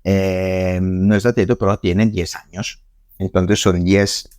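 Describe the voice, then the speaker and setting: A man from Italy speaking with an Italian accent, a guest in a casual podcast interview, halting with many fillers and restarts. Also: low-pitched, flat delivery, darkish tone, no echo, clean studio sound. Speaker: guest scientist.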